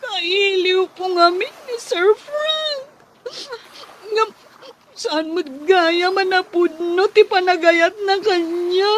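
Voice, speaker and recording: A woman's voice: very high voice, slow, fairly bright tone, slight background noise, full character voice. A woman playing a plaintive, emotional young housemaid in a radio drama, lovesick and weary of mistreatment. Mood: lovesick, tearful.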